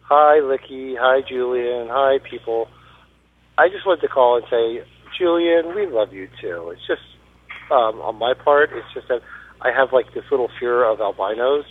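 A man's voice: mid-range voice, moderate pace. A man leaving a rambling, hesitant voicemail for a podcast. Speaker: caller. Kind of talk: voicemail message. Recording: husky voice on tinny phone line.

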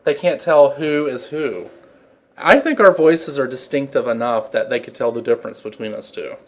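Giggly campy man co-hosting a podcast. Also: mid-range voice. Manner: giggly, campy, drawn-out delivery. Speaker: podcast co-host. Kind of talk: casual banter.

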